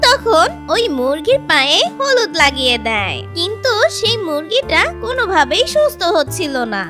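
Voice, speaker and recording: Very high-pitched, animated children's story narrator. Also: moderate pace, soft background music.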